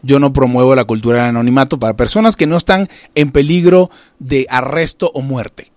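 A middle-aged man from Venezuela hosting a casual talk radio discussion, often restarting and filling pauses as he thinks. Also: mid-range voice, steady tone, darkish tone, dry recording, clean studio sound. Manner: halting, many restarts and fillers. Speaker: radio show host.

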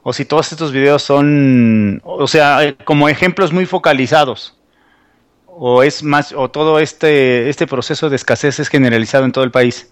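A man's voice: medium pitch, normal speed, clear, resonant tone, no room sound. A man on a talk radio show asking questions in a hesitant way, searching for words. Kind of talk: casual talk radio discussion.

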